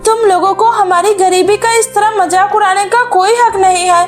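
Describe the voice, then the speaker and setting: A woman's voice: very high-pitched, fairly even intonation, moderate pace, faint music behind. A woman voicing a poor, proud and earnest schoolgirl in a cartoon story, with a pleading, wounded manner. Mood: indignant.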